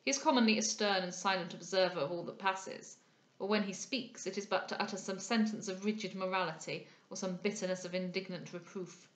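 A woman voicing an articulate, disapproving society gentleman in a period novel, speaking in formal judgement. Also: mid-range voice, fairly quick, natural voice. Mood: critical. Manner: formal, eloquent, judging. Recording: echoey room.

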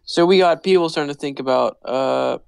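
A man's voice: fairly high pitch, brisk, vocal fry, clear, resonant tone, dry recording. A man co-hosting a podcast, talking casually and explaining things in a loose, joking way. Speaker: podcast co-host.

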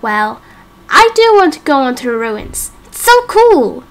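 Woman as a high, brash excitable little boy. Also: unhurried, full character voice, poor audio. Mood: cocky, insistent. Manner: eager, teasing, boastful. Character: brash, excitable seven-year-old boy, cocky friend. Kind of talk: amateur game roleplay acting.

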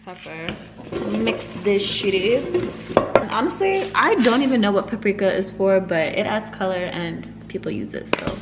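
A young woman talking her viewers through a recipe in a home kitchen, loose and slangy, full of y'all. She speaks to a camera set on the counter. Her pitch sits in the middle range. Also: lively intonation, normal speed, heavy background noise. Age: young adult. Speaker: cooking vlogger. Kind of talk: casual cooking tutorial. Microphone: camera on the counter and handheld.

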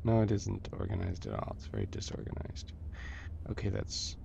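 A man's voice: low voice, very animated, moderate pace, lo-fi sound. A man giving casual, improvised gameplay commentary, muttering playfully to himself. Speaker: gameplay host. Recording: clear background noise.

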